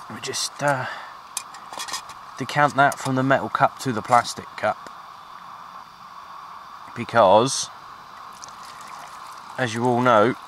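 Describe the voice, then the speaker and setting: A man with a British accent chatting casually and informally to viewers in an unhurried, easygoing way, like an outdoor hobby vlogger. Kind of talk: casual outdoor vlog chat. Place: outdoors at a windy makeshift shelter. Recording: noisy outdoor recording with ambient rumble.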